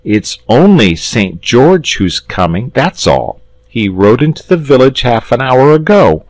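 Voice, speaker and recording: A man putting on an eager young boy. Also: dry recording. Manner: high, pleading and excited.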